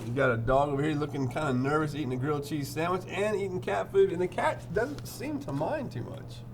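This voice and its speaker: A man's voice: a little high, fairly quick, background noise. A man reading a picture book aloud, adding playful, informal asides as he goes. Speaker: read-aloud storyteller.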